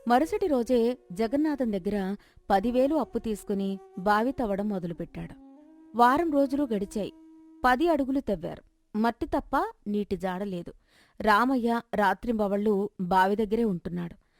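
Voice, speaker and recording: A woman's voice: mid-range voice, animated, moderate pace, pauses often, dry recording, faint music behind. A woman narrating a scripted folk story.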